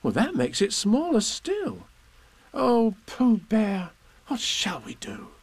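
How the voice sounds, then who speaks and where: A man's voice: very high voice, very animated, moderate pace, no room sound, pitched above the performer's own voice, exaggerated voice. A man voicing an innocent, affectionate young boy in a children's story, earnest and childlike. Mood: worried. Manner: bright-eyed, earnest, childlike questioning.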